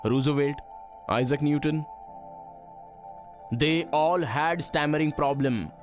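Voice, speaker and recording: An actor playing a brisk, encouraging male call centre trainer in film dialogue. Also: fairly high pitch, soft background music. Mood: probing, calm.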